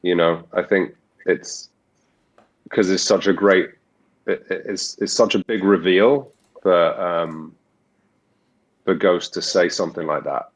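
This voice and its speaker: Deep-voiced man, thoughtful and halting. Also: fairly even intonation. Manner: thoughtful, many restarts and fillers. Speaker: voice actor guest. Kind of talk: reflective interview talk. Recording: muffled lo-fi audio.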